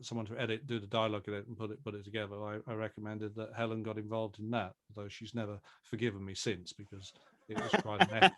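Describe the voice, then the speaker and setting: A man, an audio drama producer, telling an anecdote in a halting way with wry understatement on a group interview call. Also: mid-range voice. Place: remote video call.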